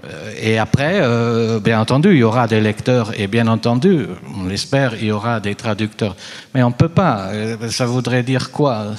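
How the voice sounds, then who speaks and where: A man whose mother tongue is Italian speaks on a literary panel at an ordinary, mid-range pitch. His talk is chatty and full of small asides. The sound is wrapped in a long, echoing hall reverb. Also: normal speed, darkish tone. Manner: chatty, anecdotal, frequent tag "hein". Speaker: panelist, writer. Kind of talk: round-table discussion.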